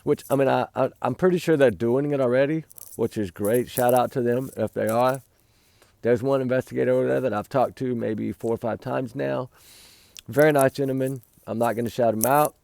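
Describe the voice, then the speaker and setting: A man talks to his viewers in a rambling, earnest way from a campfire at night. His voice is hoarse and gravelly, with a mellow, dark tone. The sound is dry and close, with no echo. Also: medium pitch, fairly even intonation, a little fast. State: losing his voice. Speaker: livestream host. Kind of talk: casual night talk to viewers.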